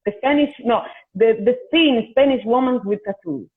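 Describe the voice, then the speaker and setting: A woman's voice: a little high, normal speed, crowd noise. A woman, hosting a casual video-call chat and often restarting her sentences as she talks. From Italy. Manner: chatty, with frequent restarts. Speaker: host and singer. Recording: tinny phone-like call audio.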